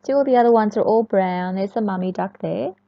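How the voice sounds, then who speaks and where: A woman reading a storybook to young children in an expressive, sing-song way, often chatting and asking the listener questions. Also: mid-range voice, a little fast. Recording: echoey room, dull lo-fi sound.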